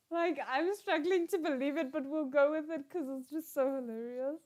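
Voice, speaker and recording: A woman giving casual, expressive live reaction commentary as a livestream host. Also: high-pitched. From South Africa. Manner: expressive, exclaims and giggles while watching.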